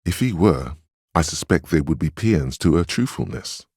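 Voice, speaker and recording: Stern inner voice of willpower, rock-bottom pitch, husky edge, weary, wry, protective, deliberate game narration. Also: male voice, expressive, darkish tone, no room sound, studio quality, exaggerated voice. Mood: grave, cautioning. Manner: deliberate, grave, admonishing. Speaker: video game voice actor. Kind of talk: dramatic second-person game narration and inner-voice dialogue.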